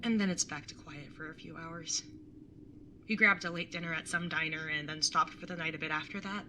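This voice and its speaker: A woman's voice: low voice, brisk, sharp tone, a little hiss or hum. A woman playing a laid-back, blunt older sister in her young adulthood, casual and teasing, in a naturalistic audio drama. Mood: reflective. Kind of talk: naturalistic audio drama dialogue and first-person narration.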